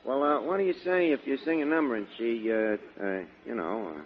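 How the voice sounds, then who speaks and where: An actor playing a brash, streetwise schemer in 1940s radio comedy, with mangled grammar and stumbling hesitations, on a dull old recording. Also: male voice, medium pitch, big pitch swings, brisk, slight background noise. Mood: bossy, fumbling. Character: brash, malapropism-prone male schemer and wise guy.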